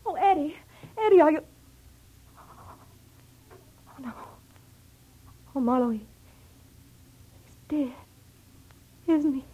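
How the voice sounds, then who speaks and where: An actress playing an anxious, lovestruck girlfriend in a 1940s-style radio detective drama, with a soft, breathy voice. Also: high-pitched, expressive, takes it slowly, pauses often, slight background noise. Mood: shocked grief. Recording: dull-toned old radio drama.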